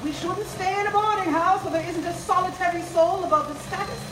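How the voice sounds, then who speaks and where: A woman's voice: extremely high pitch, steady tone, fairly quick. A woman playing a bossy, suspicious, snobbish spinster sister in a live 1930s-style radio drama, scolding and giving imperious orders. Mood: haughty, scolding. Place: live on stage in a large theater. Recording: distant, echoey theater stage, noisy.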